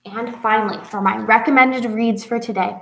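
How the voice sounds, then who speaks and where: A young woman with a fairly high voice hosts a read-aloud for children. She talks directly to kids in an expressive, sing-song manner, like a librarian at story time. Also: moderate pace, gravelly, low-quality recording. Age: young adult. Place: small indoor room with whiteboard.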